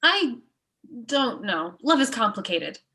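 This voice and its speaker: A woman plays a sensible young girlfriend in a comedy table read. She sounds earnest and disapproving, with a fairly high, bright, forceful voice. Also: very animated, takes it slowly, heavily acted.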